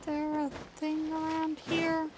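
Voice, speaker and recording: A woman's voice: high-pitched, monotone, slow, background noise. A woman doing casual gameplay commentary as a livestreamer, reacting aloud and talking to herself. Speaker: game livestreamer. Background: video game music.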